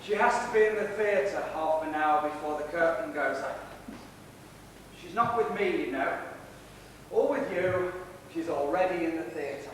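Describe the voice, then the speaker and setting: Man playing sardonic husband, slow, high-pitched, echoey hall. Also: natural voice. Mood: bitter, controlled. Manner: sardonic, deliberate, theatrical delivery. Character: embittered middle-aged theatrical husband, mocking and menacing with a gun. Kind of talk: theatrical stage drama acting. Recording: echoey hall, distant mic, faint rumbling noise.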